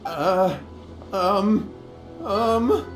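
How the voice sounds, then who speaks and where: A man's voice: high voice, animated, drawn-out words, heavily acted. A man playing an anxious, evasive young man in a video game, nervous and prone to stammering. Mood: flustered. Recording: roomy echo under loud music.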